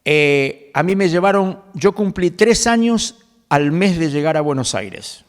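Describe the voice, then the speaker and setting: A man in his seventies with an Argentine accent, a pastor guest who explains scripture in a conversational preaching way, quoting verses and filling pauses with "este". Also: on the high side, animated, big-room sound. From Galicia, Spain. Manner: quotes scripture at length, fills pauses with "este".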